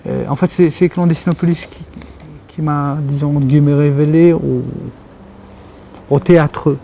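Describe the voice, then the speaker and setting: Thoughtful man, mellow voice. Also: a little high, steady tone, moderate pace, a little hiss or hum. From Algeria. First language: Kabyle. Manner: thoughtful, searching for words. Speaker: interviewee, writer and journalist. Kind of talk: reflective interview talk.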